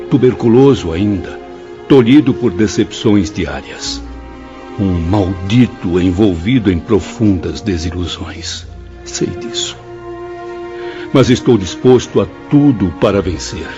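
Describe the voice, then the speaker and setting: Guy acting repentant brazilian ghost professor, pompous impassioned speeches, lowish voice, dull radio play audio. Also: lightly acted. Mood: humbled, resolute. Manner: impassioned, pompous, declamatory oratory. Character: repentant suicide spirit, former professor, fervent and humble. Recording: dull lo-fi audio with music behind. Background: music.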